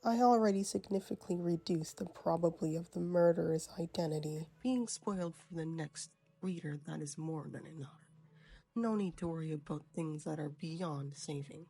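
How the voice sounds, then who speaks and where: Woman acting a low, husky, cool-headed scholar. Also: moderate pace, mellow. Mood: dry, composed. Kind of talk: fan-dub game character voice acting.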